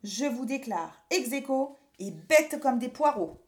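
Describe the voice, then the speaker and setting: A woman's voice: sits high, lively intonation, unhurried, darkish tone, echoey room, pitched above the performer's own voice. A woman voicing a cheeky, childlike little boy from a children's story, given to exclaiming. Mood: jubilant. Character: cheeky little boy, pleading then delighted, in a bedtime story.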